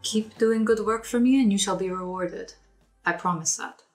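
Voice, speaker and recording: A woman's voice: medium pitch, normal speed, faint music behind. A woman chatting casually as a player in a tabletop game podcast. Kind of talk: casual tabletop role-play chat.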